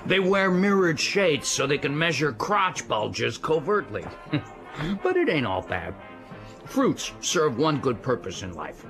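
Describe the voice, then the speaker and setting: A man's voice: fairly high pitch, sing-song, measured pace, fairly bright tone, soft background music, heavily acted. An actor playing a crude, bragging middle-aged American father in a radio drama, with slangy, leering talk. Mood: boastful, leering.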